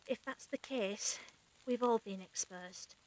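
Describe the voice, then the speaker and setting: A woman's voice: a little high, takes it slowly, rough recording. A woman acting a stern, clinical medic with formal, precise speech in an audio drama. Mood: stern, cold.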